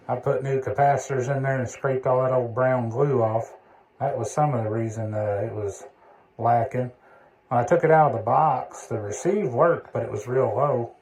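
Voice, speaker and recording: A man's voice: medium pitch, normal speed, a little mellow. Man chatting casually over a noisy recording. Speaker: CB radio hobbyist demonstrating a repaired radio. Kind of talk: casual radio chat and demonstration. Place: indoor electronics workbench. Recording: clearly noisy workbench recording, background hiss.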